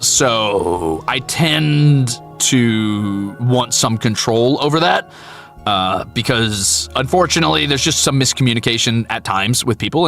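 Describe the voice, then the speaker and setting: A man's voice: medium pitch, gravelly, fairly bright tone. A man chatting casually on a livestream, candid and playful, swearing freely and poking fun at himself. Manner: candid, playful, profane, self-deprecating. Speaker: VTuber streamer and voice actor. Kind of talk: casual livestream chat answering viewers.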